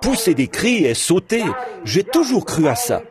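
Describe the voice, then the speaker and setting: A man delivering a translated sermon in a lively preaching cadence, repeating words as he goes. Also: high-pitched, a little slow, crowd noise. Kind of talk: French reading of a translated sermon.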